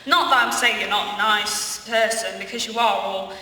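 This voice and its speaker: Girl doing a smarmy theatrical wizard boy, awkward and posh-worded, bright voice, far from mic w loads of echo. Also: medium pitch, steady tone, normal speed, lightly acted. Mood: awkward, earnest. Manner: florid, affected, stammering when flustered. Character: oily, theatrical, awkward schoolboy rival wizard. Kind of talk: comic amateur stage radio play. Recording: very echoey hall, distant mic, faint noise.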